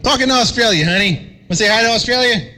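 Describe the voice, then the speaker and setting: A man's voice: extremely high pitch, fast, sharp tone, slight background noise. A man chatting on his home radio station, laid-back and laughing often, calling people "man". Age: adult, father of a five-year-old. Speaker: radio operator hosting the stream. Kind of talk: casual radio chat. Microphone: radio base station mic.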